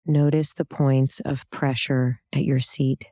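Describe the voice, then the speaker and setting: A woman meditation teacher giving a soothing guided meditation, calm and gentle, with unhurried instructions and pauses between phrases. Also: very deep voice, no room sound, studio quality.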